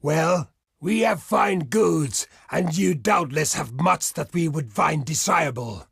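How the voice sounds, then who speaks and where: A proud barbarian envoy from a strategy game, with a rough, gravelly and husky voice. He declaims formally and slowly, weighing each phrase like a grave warrior. The sound is close and dry. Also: fairly high pitch, expressive, a bit bright, dry recording, full character voice. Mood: solemn, bargaining. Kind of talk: scripted video game diplomacy lines.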